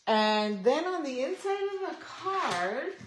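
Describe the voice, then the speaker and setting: A woman chatting casually through a card-stamping tutorial, thinking aloud and poking fun at herself, her voice going a bit hoarse. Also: high-pitched, sing-song, slow, slight background noise. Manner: chatty, self-deprecating, thinks aloud while working. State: losing her voice, clearing throat. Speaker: stamping craft demonstrator. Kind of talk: casual craft tutorial chat.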